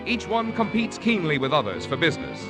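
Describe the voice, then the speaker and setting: Old time radio announcer guy, highish voice, lively pitch, music behind him. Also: fairly quick. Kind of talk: 1940s scripted sponsor and credit announcements. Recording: 1940s radio broadcast over music.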